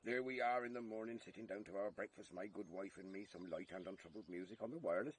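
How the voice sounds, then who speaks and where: An actor playing a ponderous, folksy British police sergeant in a vintage radio drama, pompous and chatty in manner. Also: male voice, fairly low pitch, quick pace, close to an everyday voice. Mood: folksy, rambling. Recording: noisy vintage radio play recording.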